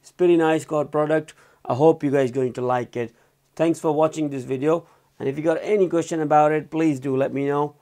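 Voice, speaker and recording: Higher-pitched man with gravelly fry, reviewing casually. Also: steady tone, fairly quick, dark tone. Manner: informal, loosely phrased, repeats himself. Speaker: product reviewer and presenter. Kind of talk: casual product review and demo. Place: indoors, small room at a desk.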